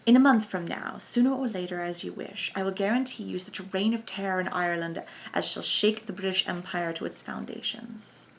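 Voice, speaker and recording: A woman voicing a forceful, defiant Irish revolutionary man. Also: sits high, brisk, creaky, few pauses, a little hiss or hum.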